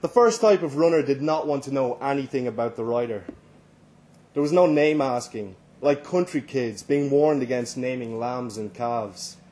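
An Irish man narrates a short story in the first person at a live reading. His pitch sits in the middle of the male range, and there is faint noise behind him. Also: moderate pace, warm tone. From Ireland. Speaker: story reader. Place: live reading at a London venue. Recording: live event recording, faint background noise.

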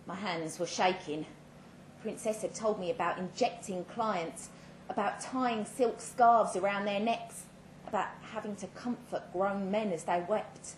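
A woman giving a measured, dramatic reading of a first-person short story at a live event, with pointed pauses. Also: mid-range voice. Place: indoor live literary event. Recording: roomy live venue, clear background noise.

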